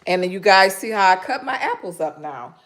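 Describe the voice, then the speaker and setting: Loud-voiced chatty woman from Michigan. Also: medium pitch, animated, fairly quick. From Michigan, USA. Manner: chatty, rambling, frequent ums, talks straight to the chat. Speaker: live-stream host. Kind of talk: casual live-stream chat with viewers. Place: home kitchen.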